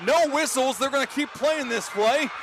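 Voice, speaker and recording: A man's voice: very high voice, normal speed. An American man doing live radio play-by-play of a football game, with emphatic, dramatic calls. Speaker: play-by-play announcer. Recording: clear outdoor stadium noise behind. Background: outdoor stadium ambience.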